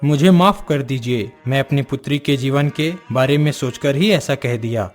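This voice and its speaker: A man's voice: mid-range voice, normal speed, soft background music, lightly acted. A man voicing a dignified middle-aged king and father in a cartoon story, speaking in a formal, courtly way. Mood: gracious.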